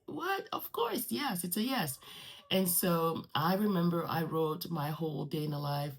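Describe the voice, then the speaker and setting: A woman whose first language is French talks casually to her viewers in a low, husky voice. She is chatty and animated and often breaks into laughter. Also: a little slow. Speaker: vlogger. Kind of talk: casual chat to camera.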